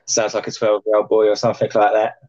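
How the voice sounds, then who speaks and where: A man hosting a podcast over a video call, chatty and joking with lots of fillers, his voice a little croaky. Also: lowish voice, completely flat delivery, fast talker, a little mellow, lo-fi sound. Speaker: podcast host. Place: plant room.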